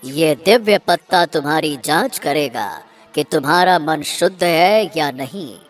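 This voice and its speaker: A man voicing a solemn ancient tree spirit in a cartoon, with grave, measured pronouncements. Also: fairly high pitch, expressive, faint music behind. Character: solemn ancient magic red tree spirit granting a sword.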